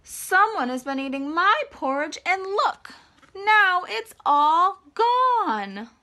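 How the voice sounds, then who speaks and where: A woman voicing a small, plaintive baby bear in a storybook, whiny with drawn-out endings. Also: extremely high pitch, very animated, pushed and bright, voice pushed up, full character voice. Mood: upset. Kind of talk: storybook character voice.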